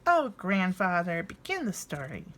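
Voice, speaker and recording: An eager little boy bear cub from a storybook, read by a woman. The cub is curious and keen, with a very animated voice. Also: on the low side, measured pace.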